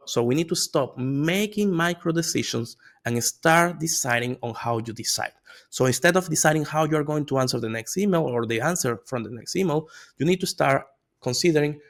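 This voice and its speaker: Gravelly man lecturing. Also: medium pitch. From Venezuela. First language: Spanish. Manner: energetic, conversational, frequent "okay?" and "right?" tags. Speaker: AI consultant presenting a course. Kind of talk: informal online lecture.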